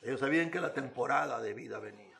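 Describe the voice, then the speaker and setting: A man's voice: mid-range voice, quick pace, vocal fry, a bit bright. A man preaching a sermon in an emphatic, repetitive manner, with rhetorical questions and phrases.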